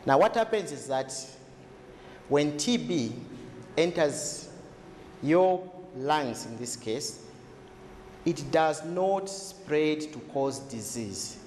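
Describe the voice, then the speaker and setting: Echoey, higher-pitched man explaining deliberately. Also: animated, a little mellow. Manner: didactic, asks and answers own questions, deliberate phrasing. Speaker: health expert explaining tuberculosis. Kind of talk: explanatory interview talk for a documentary. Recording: echoey hall-like room, faint noise.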